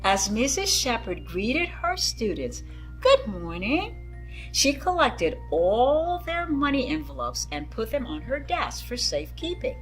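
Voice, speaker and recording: A woman's voice: fairly high pitch, very animated, a little slow, few pauses, faint music behind, rough recording. A woman reading a picture book aloud to children, expressively and with dramatic emphasis, addressing her young listeners. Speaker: children's story-time reader.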